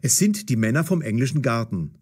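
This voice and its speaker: A man's voice: medium pitch, lively intonation, fairly quick, a little mellow, husky. A man narrating a scripted story as the narrator of an audio drama.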